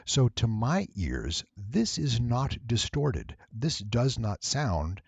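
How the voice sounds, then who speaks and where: A man narrating a tutorial, explaining things step by step in a deliberate, emphatic way. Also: medium pitch, big pitch swings, creaky, breathy edge, no room sound. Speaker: voice actor giving an audio editing tutorial. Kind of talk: explanatory screen-recording narration.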